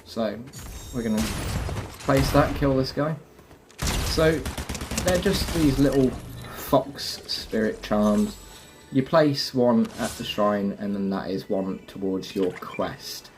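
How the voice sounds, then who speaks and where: A man with a fairly low voice talks through a video game in a casual, explanatory way, addressing viewers directly. He sounds like a host guiding people step by step. There is noticeable background noise and game ambience under his voice. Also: slow pace. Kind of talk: casual game walkthrough commentary.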